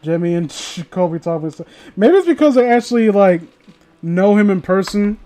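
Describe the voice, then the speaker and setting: A man's voice: high voice, lively intonation, moderate pace, warm tone. A tipsy man livestreaming, rambling casually and profanely as he chats and reads stories. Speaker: livestreamer reading online horror stories. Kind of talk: casual rambling livestream chat and story reading.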